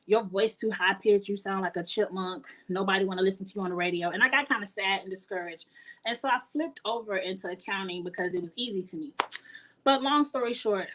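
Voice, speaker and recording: A woman's voice: quick pace, fairly bright tone. A woman, chatting casually with her viewers as a YouTuber, laughing often and sounding earnest and encouraging. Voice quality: high-pitched voice. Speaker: YouTuber answering live chat.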